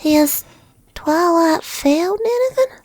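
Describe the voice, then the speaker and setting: A woman's voice: very high-pitched, animated, takes it slowly, no echo, exaggerated voice. A woman voicing an earnest young pony filly with a small, childlike voice and folksy speech in a dramatic reading. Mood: worried, downcast. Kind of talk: dramatic fan fiction reading.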